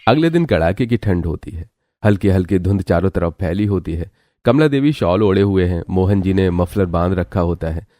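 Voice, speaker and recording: A man's voice: low voice, expressive, normal speed, dark tone, no echo, clean studio sound. A man narrating a scripted cartoon story as its storyteller.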